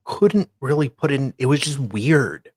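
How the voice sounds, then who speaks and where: A man's voice: mid-range voice, lively intonation, a little slow, darkish tone, slightly rough, no echo. A man in casual conversation as a guest, agreeing readily and telling things in a hesitant way. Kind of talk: casual chat.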